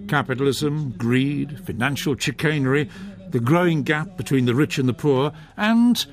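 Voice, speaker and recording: A man presenting a talk radio programme and interviewing a guest in a measured, articulate way. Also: mid-range voice. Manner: measured, articulate, lively intonation. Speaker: radio host. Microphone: studio microphone.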